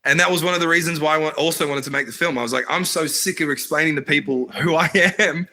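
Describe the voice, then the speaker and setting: A man's voice: fairly high pitch, fast, bright, forceful tone. A man, born in Iraq, talking earnestly as a filmmaker guest in a casual interview, with lots of fillers and restarts. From Iraq, raised in Australia. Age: adult, married.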